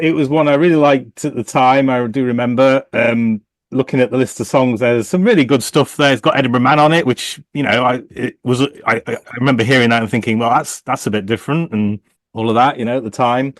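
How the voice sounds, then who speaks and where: A man's voice: mid-range voice, brisk, dry recording. Guy on a podcast panel, umming and restarting a lot, dry sound. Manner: hesitant, full of fillers. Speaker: panel guest. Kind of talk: casual podcast chat.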